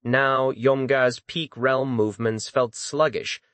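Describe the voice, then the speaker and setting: A man's voice: medium pitch, fairly even intonation, slow pace, fairly bright tone, dry recording. A man reading dramatic scripted fantasy narration as an audiobook narrator.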